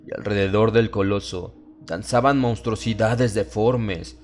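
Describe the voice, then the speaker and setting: Low-voiced male horror narrator over prominent music. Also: a little mellow. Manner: ominous, theatrical, measured delivery with drawn-out phrases. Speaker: audiobook narrator. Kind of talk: dramatized horror audiobook narration. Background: atmospheric music bed.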